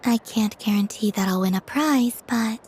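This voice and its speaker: A woman voicing a gentle, serene, curious young moon goddess in an anime game, soft-spoken, polite and understated. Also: fairly high pitch, moderate pace, a little hiss or hum, close to an everyday voice. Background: music.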